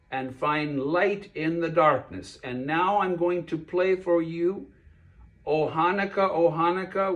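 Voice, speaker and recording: A man presenting a children's puppet show, speaking directly to a child and explaining things simply. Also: a little high. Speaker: puppet show performer and host.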